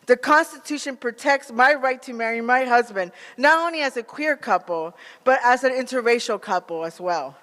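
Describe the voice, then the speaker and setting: A man with an American accent giving a prepared advocacy speech in an earnest, measured manner. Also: extremely high pitch, sharp tone, big-room sound. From United States, born in Peru. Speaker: national organizer, advocate.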